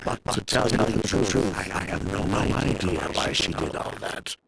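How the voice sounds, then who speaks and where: An actor playing a glib, evasive perfume fraudster in a fantasy game, theatrical and smoothly self-justifying. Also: male voice, sits low, expressive, moderate pace, very noisy. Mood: earnest, persuasive. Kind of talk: acted game dialogue.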